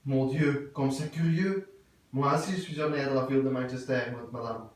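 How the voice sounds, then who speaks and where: A man playing a stiff, courteous middle-aged English gentleman in an absurd stage play, with formal, ceremonious and repetitive speech. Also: medium pitch, steady tone, brisk, close to an everyday voice. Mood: ceremoniously curious. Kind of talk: theatre acting of an absurd play. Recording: very echoey room, voice from far off.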